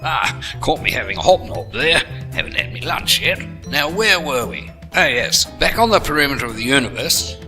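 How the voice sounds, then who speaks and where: A man's voice: on the high side, animated, normal speed, hardly any pauses, music in the background. A man narrating a comic audio story, with chatty asides to the listener.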